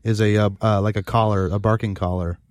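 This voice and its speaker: A man in casual comedic banter, talking in a crude, sweary, halting way. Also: on the low side, little pitch movement, darkish tone, no room sound, studio quality.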